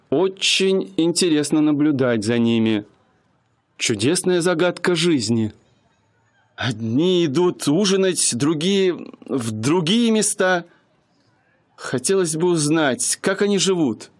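Actor as a shy young suitor, slow deliberate speech, higher man's voice, radio play. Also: expressive. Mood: earnest.

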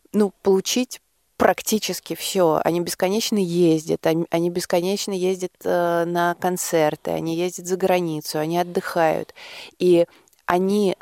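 Female interview guest with a low, fry-heavy voice, thoughtful, pausing to find words, recorded dry. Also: darkish tone, no room sound. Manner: thoughtful, hesitant, searching for words. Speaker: interview guest, project participant. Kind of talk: conversational radio interview.

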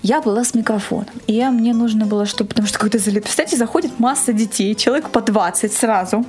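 High-voiced woman telling a story, music behind. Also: fast, a little mellow. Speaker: interviewed event organizer. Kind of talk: casual spoken interview recollection.

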